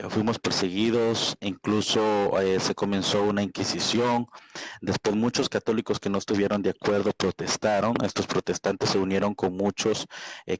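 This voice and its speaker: A man's voice: deep voice, low-quality recording. A man speaking off the cuff in a religious debate, hesitant and often checking for agreement. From Guatemala. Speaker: evangelical debater. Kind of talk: extemporaneous religious debate introduction.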